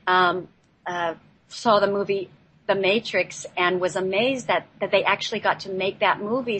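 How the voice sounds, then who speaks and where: A woman telling a personal story on a talk show in a halting, reflective way, picked up by a laptop microphone. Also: medium pitch, fairly bright tone, slightly rough. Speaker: guest telling their life story. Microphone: laptop built-in microphone, not headset. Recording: noisy, off-mic laptop microphone.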